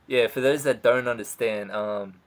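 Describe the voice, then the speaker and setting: A man's voice: mid-range voice, fairly even intonation, normal speed, a loud crowd. A man in his late twenties from Sydney with an Australian accent, hosting a casual interview, swearing freely and laughing often. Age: 20s. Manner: swears a lot, laughs easily. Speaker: host, interviewer.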